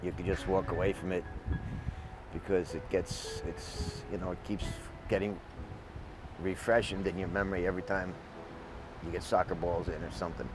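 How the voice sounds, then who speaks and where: A middle-aged man in an unscripted interview, talking haltingly as he searches for words. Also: low voice, flat delivery, background noise. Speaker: interviewee, bereaved father.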